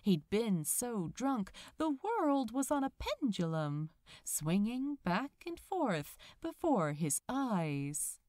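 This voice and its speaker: A woman reading fiction aloud as a narrator, in an expressive, measured storytelling manner. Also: on the high side, no room sound, studio quality. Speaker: podfic narrator.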